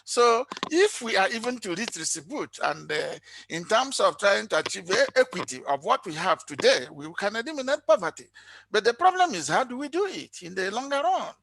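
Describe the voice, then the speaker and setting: An economist intervenes in an online forum without a script. The delivery is lively in pitch and earnestly explanatory, posing questions along the way. The sound is dry. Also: normal speed, creaky, no room sound. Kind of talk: unscripted conference intervention. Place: remote online conference call.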